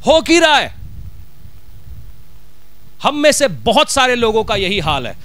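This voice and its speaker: A man's voice: very high voice, huge pitch swings, measured pace, fairly bright tone, no echo. A middle-aged man with a Punjabi accent, a pastor preaching a sermon from a church stage with a handheld mic, driving his points home with rhetorical questions. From Punjab, India.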